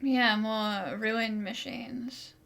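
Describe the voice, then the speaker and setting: A woman's voice: a little high, steady tone, very slow, vocal fry, fairly bright tone. A woman giving casual, conversational game playthrough commentary, chatting along with the characters. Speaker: gaming video creator.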